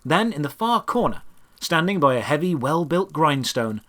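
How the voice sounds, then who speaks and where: A man's voice: fairly high pitch, sing-song, normal speed, fairly bright tone. A man narrating a scripted first-person adventure story, with outdoor ambience behind him. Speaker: narrator and creator of an audio drama.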